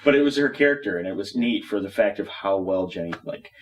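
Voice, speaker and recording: A man's voice: on the low side, lively intonation, quick pace. A man chatting casually as a panelist, talking in loose, rambling phrases. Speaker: panelist on a hobby talk show. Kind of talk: casual chat. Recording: distant mic, faint room noise.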